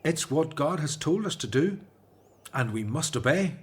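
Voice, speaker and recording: An actor playing an earnest, devout Hebrew prophet in a radio drama, measured and solemn. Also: male voice, on the high side, lively intonation. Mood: resolute.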